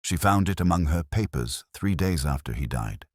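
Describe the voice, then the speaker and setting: A man narrating a scripted first-person detective story in a measured, reflective, literary manner. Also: sits low, lively intonation, no echo, clean studio sound.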